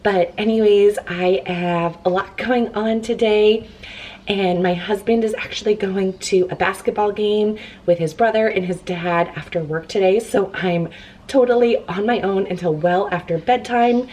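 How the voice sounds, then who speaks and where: A young woman with a hoarse, laryngitis-roughened voice, chatting upbeat and casual as a mom vlogger at home. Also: mid-range voice, measured pace, a little mellow. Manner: chatty, upbeat, laughs between phrases. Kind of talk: casual day-in-the-life vlog talk. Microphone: handheld camera.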